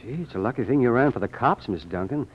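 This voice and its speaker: A man's voice: mid-range voice, expressive, fast talker, breathy edge. An actor playing a friendly, concerned male sidekick in a 1940s radio drama. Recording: thin, narrow-band old radio recording.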